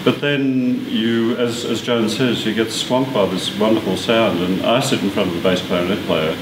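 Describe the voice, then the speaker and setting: An Australian man from Sydney, an orchestral piccolo player, chatting in an animated way with frequent restarts. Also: on the low side, moderate pace. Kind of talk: casual interview. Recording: distant echoey mic with air-conditioning hum.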